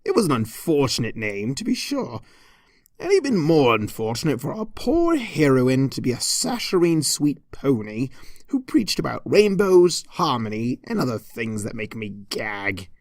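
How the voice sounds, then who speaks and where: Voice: medium pitch, very animated, normal speed, a little mellow, no echo, studio quality. Theatrical, swooping storybook narrator. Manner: theatrical, mock-pompous, arch. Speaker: fan fiction reader. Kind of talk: scripted comedic story narration.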